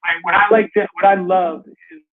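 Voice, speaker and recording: A man's voice: high voice, little pitch movement, normal speed, phone-quality sound. A man hosting an interview, asking long, rambling questions that are full of restarts. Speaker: talk show host. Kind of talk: casual interview.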